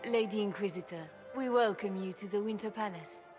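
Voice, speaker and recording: Regal woman acting as empress, mid-pitched. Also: fairly quick, music in the background, close to an everyday voice. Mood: gracious, regal. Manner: stately, courtly. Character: poised, gracious empress, formal and regal.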